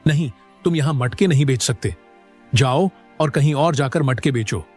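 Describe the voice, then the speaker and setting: A man's voice: mid-range voice, moderate pace, darkish tone, soft background music, lightly acted. A man voicing a curt, domineering bully in a cartoon story, ordering someone around with threats. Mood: bossy, dismissive. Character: bossy, threatening man bullying a market pot seller. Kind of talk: Hindi cartoon story dialogue.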